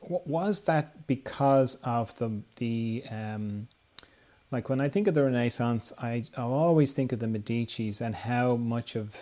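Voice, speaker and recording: Casual male podcast host, halting and informal. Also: medium pitch, a little mellow. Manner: informal, tentative, halting questioner. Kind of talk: casual interview chat.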